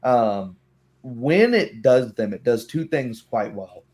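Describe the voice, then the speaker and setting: A man's voice: medium pitch, animated, slow, darkish tone. A man chatting casually about tabletop game rules in an explanatory way, sometimes voicing short hypothetical remarks.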